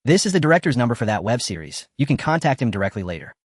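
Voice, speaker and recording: A man plays a composed, wealthy boss who gives plain instructions. The voice is middle-pitched and dry. It is heard in a narrated story. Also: sing-song, racing, slightly rough, dry recording. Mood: calm. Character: calm, wealthy businessman, older brother.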